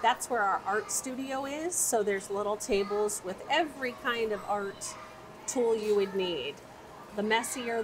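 A woman, the director of a children's museum, explaining its play areas in an upbeat interview with frequent fillers. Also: medium pitch. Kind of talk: casual interview. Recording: audible background noise.

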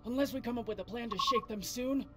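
An actress playing a hard-bitten, foul-mouthed, defiant spaceship pilot in a video game, with a blunt, sardonic way of talking. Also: female voice, on the high side, quick pace, lightly acted. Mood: grim, bitter. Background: loud music.

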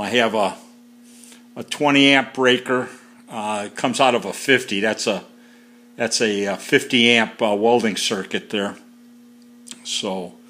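A man's voice: medium pitch, a bit bright. A man casually walking through a garage, talking off the cuff with lots of fillers in a matter-of-fact way, filmed on a handheld phone. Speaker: home mechanic reviewing a tool. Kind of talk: casual unscripted video walkthrough. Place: home garage.

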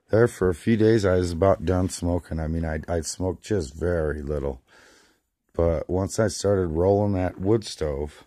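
A hoarse man, about forty, rambling in a casual vlog, filmed on a handheld phone inside a small greenhouse. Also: low-pitched, mellow, dry recording. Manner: rambling, many restarts and fillers. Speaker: vlogger. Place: small plastic greenhouse, indoors. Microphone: phone camera held close.

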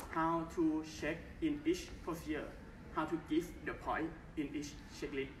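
Young Thai man presenting in an echoey hall. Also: a little high. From Thailand. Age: young adult. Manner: careful, halting English with simple phrasing. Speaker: conference presenter. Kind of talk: formal research presentation. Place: large bright conference hall. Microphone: far camera, no mic. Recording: echoey hall from far off, faint hiss.

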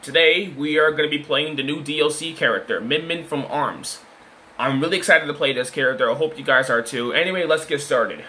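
A man giving casual gameplay commentary, chatty with frequent fillers and an occasional stutter, whooping loudly at big plays. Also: a little high, brisk. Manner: chatty, self-described stutter, many fillers. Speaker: gaming video creator.

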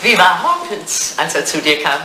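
A woman's voice: fairly low pitch, moderate pace. An actress playing an elegant, teasing older English lady in a retro radio comedy, telling things frankly and theatrically. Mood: wry, probing. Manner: animated, theatrical, teasing storytelling. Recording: roomy, noisy vintage radio play recording.

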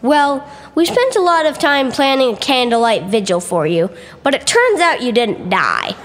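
An actor playing an eager young student at a space academy, speaking to a professor. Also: male voice, very high-pitched, sing-song, moderate pace, fairly bright tone, heavily acted. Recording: long hall-like reverb.

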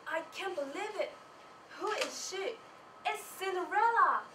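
A woman's voice: very high voice, expressive, measured pace, pushed and bright. A teenage young woman giving a scripted stage presentation as a student, with a theatrical, rehearsed delivery. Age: teens. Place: small presentation stage indoors. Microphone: far camera. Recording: noisy room, distant microphone.

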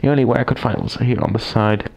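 A man with a raspy voice, recovering from a cold, casually reviewing a typewriter at his desk, rambling with fillers and restarts. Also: mid-range voice, fairly even intonation, fast, darkish tone. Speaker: typewriter collector reviewing a machine. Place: small room at a desk, indoors. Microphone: camera mic, speaker off-camera.

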